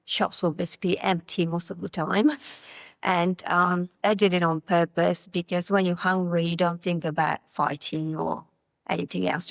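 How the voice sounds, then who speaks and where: A middle-aged woman with a Polish accent speaks in a fairly low voice. She talks in a thoughtful, halting way, starting sentences again as she goes. The sound is dry and close, with no room around it. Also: creaky, no echo, low-quality recording. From Poland. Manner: thoughtful, halting, with restarts and fillers. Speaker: guest. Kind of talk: personal story interview.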